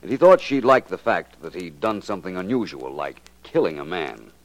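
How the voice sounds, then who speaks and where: An actor playing a confident, clever detective in a 1940s radio drama, with an assured, explanatory manner. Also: male voice, deep voice, big pitch swings, normal speed, dry recording, rough recording. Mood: wry, reflective.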